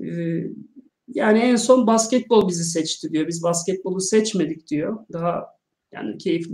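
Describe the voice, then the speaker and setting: A man in his thirties with a Turkish accent, interviewing over a video call and translating, halting with many fillers. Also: high-pitched, mellow. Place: small home room with shelves. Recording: echoey room.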